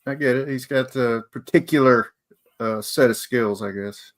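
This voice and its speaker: A middle-aged man takes part in a casual panel chat. His voice sits at a medium pitch, and he talks informally as one of the guests. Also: moderate pace. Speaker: podcast panelist.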